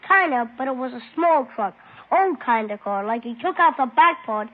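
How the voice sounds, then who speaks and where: A woman's voice: high-pitched, expressive, normal speed. A woman playing an earnest six-year-old boy with an American accent, naive and eager, in an old radio drama. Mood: eager recounting. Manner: naive, eager, simple childlike phrasing. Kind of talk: 1950s radio drama acting. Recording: muffled old radio broadcast.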